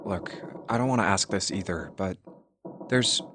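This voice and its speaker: An actor playing a hesitant, reluctant man in a video game, gently asking in halting phrases. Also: deep voice, background noise, close to an everyday voice. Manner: halting, tentative.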